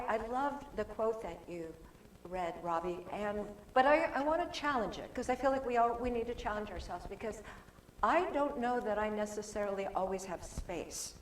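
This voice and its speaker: A soft-spoken woman giving a chatty, informal talk as a guest speaker at a church service, with plenty of restarts. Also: mid-range voice. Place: church sanctuary. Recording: echoey hall with faint room noise.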